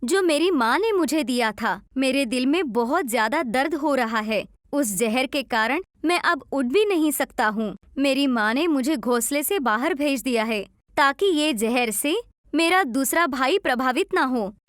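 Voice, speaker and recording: A small young pigeon from a children's storybook , played by a woman, pleads in pain. The voice is high and lively, with an earnest, suffering tone, recorded close and dry. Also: a little slow, dry recording. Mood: pained pleading.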